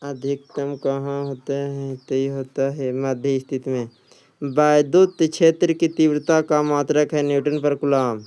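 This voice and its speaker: A man's voice: medium pitch, level tone, measured pace, mellow, smooth. A man working as an exam coach, reading quiz questions and answers aloud in an instructional way, often repeating them.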